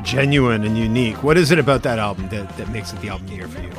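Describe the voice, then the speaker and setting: A man's voice: lowish voice, racing, over music. A man hosting a radio chat about the year's best albums, asking guests enthusiastic questions. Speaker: host.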